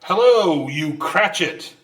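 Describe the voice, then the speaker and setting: High-pitched, theatrical old miser turned jolly , acted by a man. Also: lively intonation, slow, a bit bright, heavily acted. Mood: mock stern. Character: reformed old miser, giddy, generous, mock-stern then jovial. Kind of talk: old-time radio drama acting.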